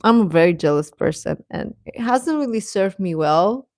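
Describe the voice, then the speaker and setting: A woman's voice: medium pitch, expressive, moderate pace, a little mellow, no room sound, clean studio sound. A woman hosting a livestream, chatting casually and reacting to viewers, often repeating her phrases. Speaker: livestream host.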